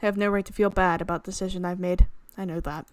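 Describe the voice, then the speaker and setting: A woman doing expressive let's play commentary, mixing dramatic reading of a visual novel with casual, reactive asides. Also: lowish voice, fast, no room sound. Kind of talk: game commentary mixed with dramatic read-aloud. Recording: music playing behind the voice.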